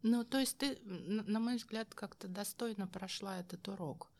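Female podcast host with noticeable vocal fry, conversational and thoughtful, feeling her way through phrases. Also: medium pitch, steady tone, normal speed, a little mellow. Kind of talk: conversational interview commentary.

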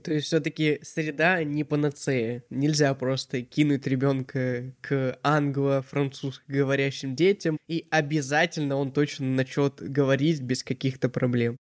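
Male host, calm, flat and even delivery, summarizing interviewer. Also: mid-range voice, moderate pace, hardly any pauses. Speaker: podcast host. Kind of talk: interview questions.

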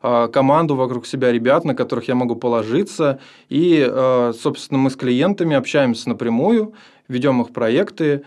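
Man, about 30, mid-pitched guest, earnest, halting delivery. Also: darkish tone. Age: almost 30. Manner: earnest, hesitant, many fillers. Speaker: podcast guest. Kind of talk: casual interview.

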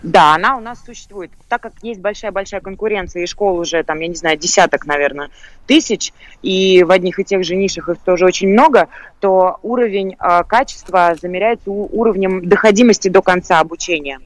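A woman's voice: fairly low pitch, brisk, no room sound. A woman speaking as an expert guest in a live talk show, explaining her points. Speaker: guest expert, lawyer for online schools.

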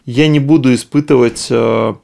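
A man's voice: medium pitch, steady tone, normal speed, gravelly, mellow. A man speaking casually as a company owner being interviewed, thinking aloud in a meandering, informal, slangy way. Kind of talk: casual conversational discussion.

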